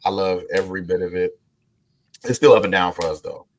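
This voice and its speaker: Low, rough-voiced male co-host with a cold, easygoing and unhurried. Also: a little hiss or hum. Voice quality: baritone. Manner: laid-back, drawn-out "man" and "you know". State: hoarse from a cold. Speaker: podcast co-host. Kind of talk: casual chat.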